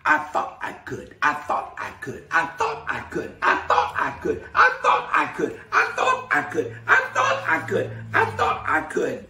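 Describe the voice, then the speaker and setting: A man's voice: high voice, wildly swooping pitch, normal speed, few pauses, a bit bright, recorded from a distance, exaggerated voice. A man voicing a small, kind female toy engine who chants as she chugs, in a storybook reading. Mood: happy. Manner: chugging, chanting. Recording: roomy, from the mic.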